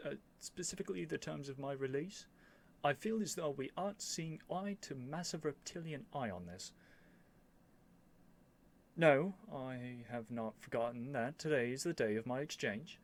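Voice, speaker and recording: A man playing a young royal prince in a scripted ASMR roleplay, earnest and lovestruck, speaking in formal, courtly phrases with haughty airs and flustered hesitations. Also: mid-range voice. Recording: faint background noise.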